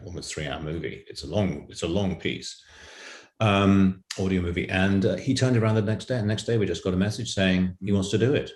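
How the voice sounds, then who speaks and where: A man telling anecdotes as an interview guest on a video call, emphatic and prone to repeating phrases. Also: sits low. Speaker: audio drama writer and guest. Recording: roomy, echoing video-call sound.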